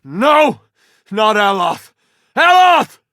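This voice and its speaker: An actor playing an easygoing, loyal farmer turned soldier in a video game, with laid-back folksy phrasing and dry humour. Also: male voice, fairly low pitch, expressive, moderate pace, no echo, close to an everyday voice. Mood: anguished shouting. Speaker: video game companion character. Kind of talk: game dialogue and battle barks.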